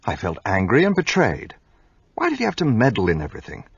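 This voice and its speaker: An actor playing an earnest, impulsive gentleman who narrates a radio play in an expressive way. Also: on the low side, fast, breathy edge, dry recording, muffled recording, heavily acted.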